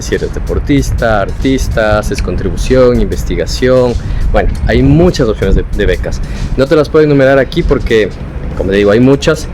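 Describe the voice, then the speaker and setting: A man's voice: medium pitch, darkish tone. A young man with an Ecuadorian Spanish accent, talking casually and explanatorily as a vlogger giving advice from his own experience. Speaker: vlogger, former international student.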